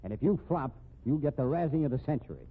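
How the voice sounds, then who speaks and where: A thin, hissy old radio recording of a man acting a harried American police captain in middle age. He is blunt and combative, grumbling sardonically at a medium male pitch in 1950s radio drama style. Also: lively intonation, fast talker, phone-quality sound, lightly acted. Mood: challenging.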